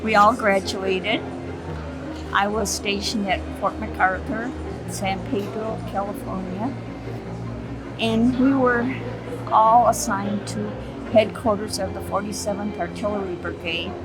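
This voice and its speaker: An older woman veteran recalling her military service slowly and deliberately in an interview. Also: mid-range voice, steady tone, poor audio. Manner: slow, deliberate, moved. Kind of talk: interview testimony. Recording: loud music behind.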